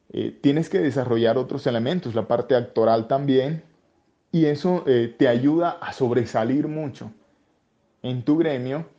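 A Colombian man, a voice-over artist, explaining his craft in a conversational interview, often pausing on "eh" and restarting phrases. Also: mid-range voice, darkish tone, highs cut off. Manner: hesitant, frequent "eh" fillers and restarts.

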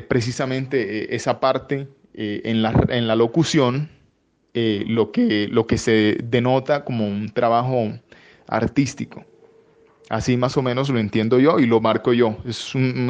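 A man from Colombia answering interview questions about voice work, pausing often with fillers and restarts. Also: medium pitch, a little mellow, highs cut off. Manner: hesitant, many fillers and restarts. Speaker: voice-over artist guest.

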